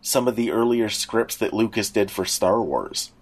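A man co-hosting a podcast, chatting informally and haltingly as he recalls things. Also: fairly low pitch, expressive, creaky. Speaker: podcast co-host. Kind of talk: casual chat.

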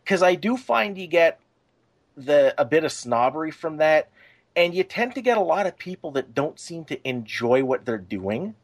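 A man hosting a casual podcast discussion, building his points in a halting, circling way. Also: on the high side, big pitch swings. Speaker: podcast host.

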